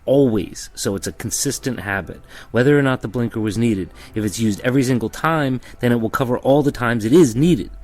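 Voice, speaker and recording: A man delivering scripted spoken-word narration in a blunt, deadpan manner. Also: mid-range voice, brisk, hardly any pauses.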